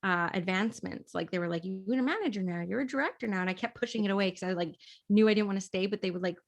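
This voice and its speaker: A woman's voice: mid-range voice, fast. A woman from Canada hosting an interview show, chatty and upbeat, with lots of "like" in her speech. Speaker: podcast host and medium. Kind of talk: interview conversation.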